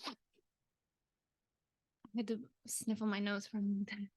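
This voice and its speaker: A woman's voice: mid-range voice, completely flat delivery, a little slow, dry recording. A woman co-hosting a podcast in an informal, conversational way. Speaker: podcast co-host. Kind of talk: casual chat.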